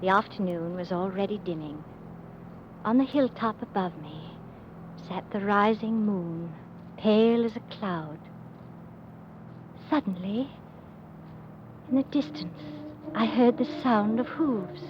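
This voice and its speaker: A woman playing a reserved, earnest young governess in a classic radio drama, speaking in a grave, quiet, composed way. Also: mid-range voice, slow pace, pauses often, noisy background, lightly acted. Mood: hushed, reflective. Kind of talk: dramatic first-person radio narration. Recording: dull old radio play recording. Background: music.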